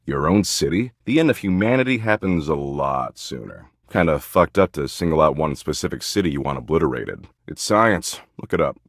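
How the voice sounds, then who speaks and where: An actor playing a smug, crude, sarcastic embodiment of existential dread in a dating game, snarky, dismissive and boastful. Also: male voice, a bass voice, animated, normal speed, few pauses, no echo, clean studio sound, exaggerated voice. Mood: snarky, animated. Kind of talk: dating sim character dialogue.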